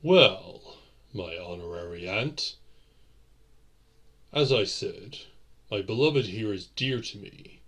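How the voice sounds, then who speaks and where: Guy playing a gentleman vampire boyfriend, audio roleplay, very low n husky, formal and theatrical. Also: animated, heavily acted. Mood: earnest pleading. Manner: formal, theatrical, deliberate phrasing.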